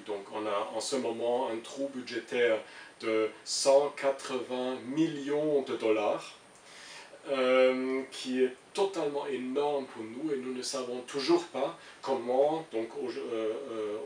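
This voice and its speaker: A man's voice: medium pitch, darkish tone. Hesitant German-accented man, distant roomy recording. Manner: hesitant, searching for words with frequent repetitions. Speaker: international civil servant being interviewed. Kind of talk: interview answers, explaining an opinion. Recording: roomy, distant mic with faint hiss.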